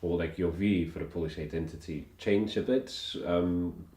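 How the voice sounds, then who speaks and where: A man working as an interviewer, asking questions in a halting way as he searches for words. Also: low-pitched, warm tone. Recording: echoey room with faint noise.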